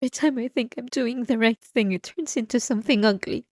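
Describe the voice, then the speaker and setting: A woman playing an obsessive, remorseful wife in an intimate ASMR roleplay, confessing in a halting, tearful way close to the microphone. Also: a little high, a little mellow, dry recording, natural voice. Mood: despairing, hushed. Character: obsessive, possessive wife, remorseful, delusional and tormented. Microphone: close microphone, dry.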